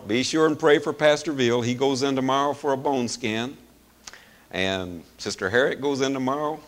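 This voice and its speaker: A middle-aged man preaching in a folksy, chuckling manner, hoarse as he loses his voice, with plenty of fillers. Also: mid-range voice, normal speed. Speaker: pastor. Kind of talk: sermon.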